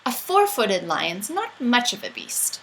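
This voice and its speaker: A woman's voice: fairly high pitch, huge pitch swings, a little slow, vocal fry, a bit bright, a little hiss or hum, exaggerated voice, rough recording. A woman voicing an eager, boastful, imaginative young boy in a storybook, with emphatic, sing-song rhyming delivery.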